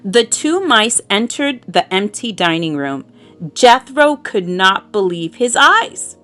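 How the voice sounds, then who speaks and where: Animated, slow-paced woman reading a children's story. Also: mid-range voice, faint music behind. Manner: animated, dramatic emphasis with drawn-out words. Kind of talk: expressive read-aloud narration for young children.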